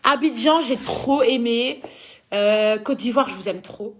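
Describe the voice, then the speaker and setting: A woman's voice: fairly high pitch, a little slow. A young woman from Belgium with a Belgian French accent, chatting casually as she reads out and answers viewers' questions. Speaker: dancer answering fan questions.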